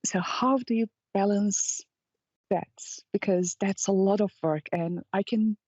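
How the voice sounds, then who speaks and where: Dry slightly muffled mic, woman podcast cohost, mellow lowish voice, casual questions. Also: measured pace, no echo. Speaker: podcast co-host.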